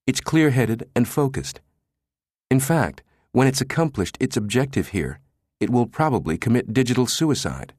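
Audiobook role: male narrator as bellowing computer chief, crude and sardonic, raised pitch, very dry studio sound. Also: big pitch swings, normal speed, a little mellow, no echo. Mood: grimly sardonic. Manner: brash, sardonic, spitting out words. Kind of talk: audiobook character dialogue.

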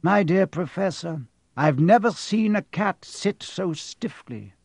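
Guy doing a kindly very old wizard, polite n unhurried, voice fairly high and lilting, storybook. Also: expressive, gravelly, no echo, exaggerated voice. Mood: gentle, amused. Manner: gentle, genial, measured. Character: gentle, very old male wizard, courteous and unhurried. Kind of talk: audiobook character voice.

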